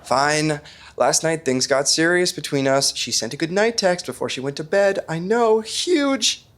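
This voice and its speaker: A man playing an awkward, excitable twenty-one-year-old roommate in a podcast sitcom. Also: on the high side, unhurried, slight background noise. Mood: sheepish, eager. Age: young adult.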